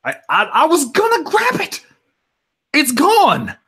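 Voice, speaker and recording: A man playing a tabletop role-playing game, improvising dialogue and narrating his character's moves in a dramatic, husky voice. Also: extremely high pitch, takes it slowly. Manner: animated, dramatic delivery.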